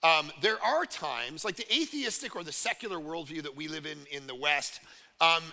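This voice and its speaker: Pastor, male, from Canada, gravelly and piercingly bright, chatty sermon delivery, long church-hall reverb. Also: fairly high pitch, moderate pace. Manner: animated, conversational preaching with many "right?" asides.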